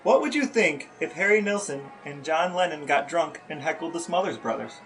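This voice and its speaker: A man's voice: a little high, animated, fairly quick, a bit bright, soft background music, low-quality recording. A man co-hosting a podcast, chatting casually and asking playful questions in a wry, mock-serious way. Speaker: podcast co-host. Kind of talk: casual chat and playful interviewing.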